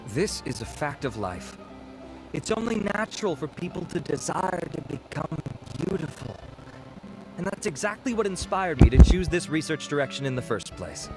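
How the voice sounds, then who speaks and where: A man's voice: fairly high pitch, big pitch swings, moderate pace, over loud music, poor audio. A man playing a courteous, vain beauty researcher in an anime game, speaking in a polite, self-assured, formal way. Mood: earnest, polite.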